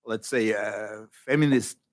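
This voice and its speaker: A male academic panelist answering a question, pausing often and searching for words with many fillers. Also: fairly low pitch. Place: conference panel room.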